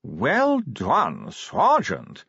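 A male narrator acts a refined gentleman curator, polite and fussy. The voice is lifted in pitch and animated, with a nervous hesitancy, in a slightly dull recording. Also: very slow, gravelly, slightly rough, no echo, full character voice. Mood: impressed.

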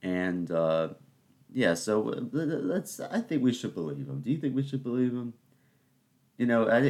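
A man's voice: deep voice, darkish tone. A man hosting his own podcast at home, talking in a rambling, sarcastic way and getting worked up. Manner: keeping voice down early on. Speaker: podcast host. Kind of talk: casual solo musing. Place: home, in the morning.

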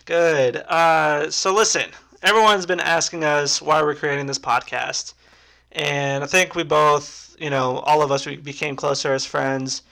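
A young man in his twenties hosting a casual podcast with friends, talking in a relaxed, rambling way with lots of "kinda" and fillers. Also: fairly high pitch, measured pace, gravelly. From United States. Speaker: podcast host.

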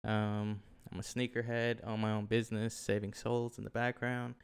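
Gravelly-voiced young man hosting. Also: on the low side, moderate pace. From Oklahoma. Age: 20s. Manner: relaxed, lots of ums, laughs easily. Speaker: podcast co-host. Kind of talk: casual chat. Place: shoe room at home.

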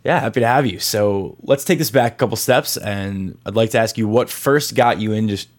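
A man hosting an interview show, reading a smooth scripted introduction and asking questions conversationally. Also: fairly low pitch, moderate pace. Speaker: podcast host.

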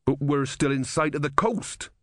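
An impatient, literal-minded soldierly man from a storybook, put on by a male reader. He is blunt and easily baffled, speaking slightly high. The recording is dry. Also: normal speed, a bit bright, dry recording, natural voice. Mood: worried.

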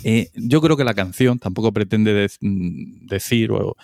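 Deep, smooth-voiced man from Spain. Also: measured pace, a little mellow, dry recording, clean studio sound. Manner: hesitant, many fillers and restarts. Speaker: podcast host. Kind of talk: casual discussion.

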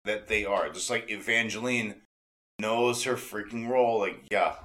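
A man's voice: lowish voice, normal speed, a bit bright. A man in his forties chatting casually as a fan and host, earnest and rambling, often repeating himself and swearing freely. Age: 40s. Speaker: podcast host and devoted fan.

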